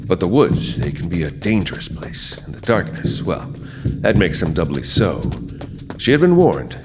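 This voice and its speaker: A man's voice: low voice, sing-song, moderate pace, very noisy. A man, host and narrator of a horror audio drama, speaking in a scripted, dramatic storytelling way.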